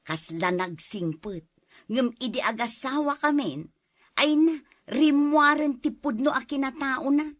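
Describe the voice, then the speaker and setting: Woman acting an exasperated wife, bright high voice. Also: a little slow, no room sound, clean studio sound. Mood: weary, resigned. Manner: complaining, weary. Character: long-suffering, exasperated wife and mother. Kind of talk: radio drama acting.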